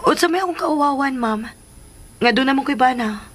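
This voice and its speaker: A woman's voice: high-pitched, lively intonation, fairly quick, husky. A woman acting a respectful seventeen-year-old girl eager to marry, in a Filipino radio drama with a Filipino accent. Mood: troubled. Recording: faint background noise.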